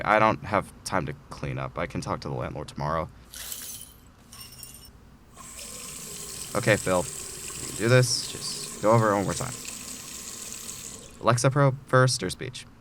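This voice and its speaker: Casting: male actor as nervous, earnest middle-aged office clerk, lowish voice, audio drama, faint background noise. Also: big pitch swings, moderate pace, pauses often, a bit bright, full character voice. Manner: nervous, earnest, self-coaching. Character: anxious, eager middle-aged mailroom file clerk man. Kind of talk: audio drama acting, talking to himself.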